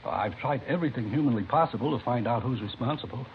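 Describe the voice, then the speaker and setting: An actor playing a worried high school principal with an American accent, earnest and hesitant, in 1950s radio drama. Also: male voice, fast, close to an everyday voice. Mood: anxious. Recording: dull, hissy old radio recording.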